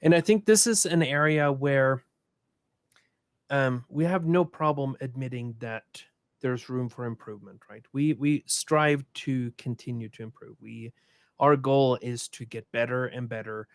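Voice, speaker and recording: A man's voice: mid-range voice, unhurried, no echo. A man from Sweden moderating a conference panel, speaking thoughtfully with many restarts and repeated words.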